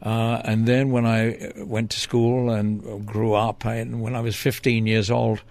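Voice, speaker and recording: A man's voice: on the low side, level tone, unhurried, vocal fry, a little mellow. An older man from England, a former TV reporter telling long stories as an interview guest, with many fillers and restarts. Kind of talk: relaxed storytelling in an interview.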